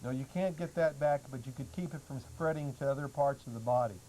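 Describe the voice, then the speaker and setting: A man speaking as a talk-show guest, explaining health remedies in a halting, matter-of-fact way. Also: medium pitch, warm tone. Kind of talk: explanatory talk-show answers, some reading aloud. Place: public access television studio. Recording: noisy old public access TV audio.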